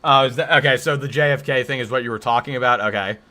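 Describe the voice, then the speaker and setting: A deep-voiced man of twenty hosting a casual live stream, answering his chat with rambling, joking banter and mock defensiveness. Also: quick pace, pushed and bright. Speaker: livestream host.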